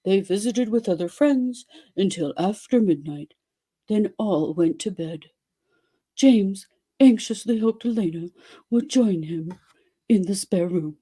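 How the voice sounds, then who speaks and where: A woman giving a theatrical dramatic reading of a story, with exaggerated delivery and dramatic pauses. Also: medium pitch, animated, dark tone, husky. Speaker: dramatic reader.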